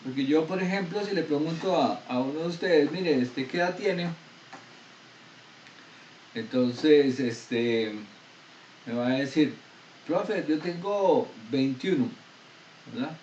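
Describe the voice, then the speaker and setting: A man lectures on math, explaining step by step and stopping to quiz his students. His voice sits a little high. He is picked up from a distance in an echoey classroom with a dull, slightly noisy sound. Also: takes it slowly, pauses often, darkish tone. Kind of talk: university lecture. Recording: echoey room, distant dull mic, faint noise.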